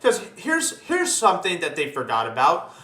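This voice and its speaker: A man's voice: on the high side, wildly swooping pitch, moderate pace, sharp tone. A man in casual opinionated chat as a podcast host, ranting emphatically and swearing often. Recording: echoey room.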